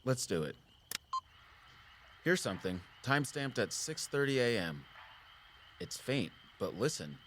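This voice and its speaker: An actor playing a concerned, patient outdoorsman in a naturalistic audio drama, measured and gently frank. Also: mid-range voice, big pitch swings, a little hiss or hum. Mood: intent, explanatory. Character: Jordan, concerned, patient outdoorsman who watches the woods.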